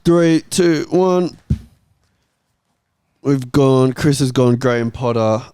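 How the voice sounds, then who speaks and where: A man's voice: mid-range voice, slow, mellow, clean tone, no room sound. A man hosting a casual football panel and giving his own views in the debate.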